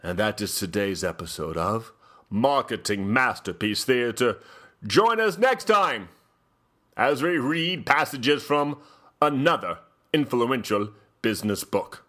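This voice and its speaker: Man putting on a slow, pompous theatrical gentleman host. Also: mid-range voice, expressive. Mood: stately, formal. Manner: pompous, grandly deliberate, with drawn-out phrases. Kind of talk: mock-highbrow dramatic reading.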